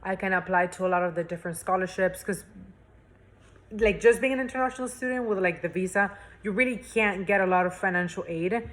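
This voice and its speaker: A woman's voice: medium pitch, a little fast. A young woman from Spain, a university student, chatting as an interview guest with lots of fillers and restarts. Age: young adult. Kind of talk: casual interview chat.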